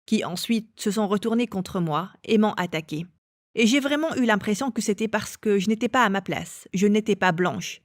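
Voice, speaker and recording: A woman telling her own story as an interviewee, in a calm and measured way. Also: medium pitch, no echo, studio quality. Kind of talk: personal testimony.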